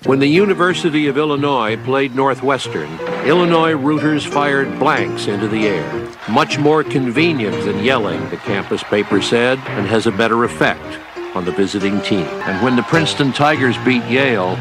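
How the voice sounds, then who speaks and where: A man's voice: medium pitch, expressive, normal speed, rough recording. A man reading scripted narration in the style of a sports history film. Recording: loud music over the narration. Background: loud music.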